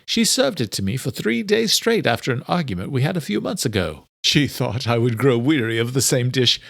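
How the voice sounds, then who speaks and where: A man's voice: mid-range voice, sing-song, fairly quick, creaky, fairly bright tone, no room sound, clean studio sound, exaggerated voice. A man voicing a kindly elderly doctor in an audiobook, with a genteel, wry, chatty manner. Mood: wry, reassuring.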